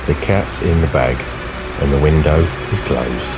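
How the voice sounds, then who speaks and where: A man's voice: very deep voice, a little slow, over loud music, poor audio. A man playing a stern, sinister police officer in an audio drama, officious and condescending. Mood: sinister gloating.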